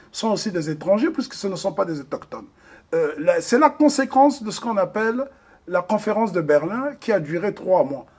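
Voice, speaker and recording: A man's voice: high voice, a little mellow, husky. A man from the Republic of the Congo, an interview guest giving impassioned political commentary, emphatic and hesitant with many fillers.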